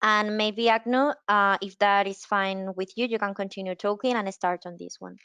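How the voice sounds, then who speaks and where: A woman's voice: mid-range voice, fairly even intonation, moderate pace, bright, forceful tone, smooth, no echo. A woman hosting a panel on a conference call, politely handing questions to the speakers. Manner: polite, deferential. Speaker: roundtable host, moderator. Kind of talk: moderating a panel discussion.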